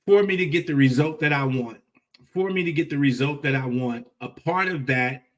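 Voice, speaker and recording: A man's voice: on the high side, normal speed, far from the mic. A man in his forties hosting a motivational livestream, talking emphatically, repeating key phrases and checking in with "right?". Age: 40s. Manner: repetitive, emphatic, with rhetorical "right?" tags. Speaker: host.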